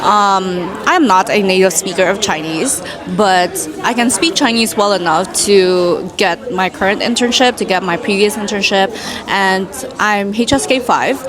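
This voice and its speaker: A woman's voice: mid-range voice, a crowd in the background. A young woman in her twenties from Indonesia, chatting casually in a Q&A vlog, full of "like"s and asides. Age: 20s. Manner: chatty, many "like"s, quick asides. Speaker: vlogger. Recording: music playing in the background.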